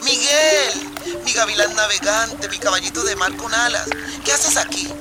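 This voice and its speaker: A woman's voice: on the high side, unhurried, lightly acted. An actress as a dreamy, romantic woman speaking poetically in a radio play. Her voice sits in a long echoey reverb with faint music behind. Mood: bewildered, tender. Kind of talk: radio drama acting.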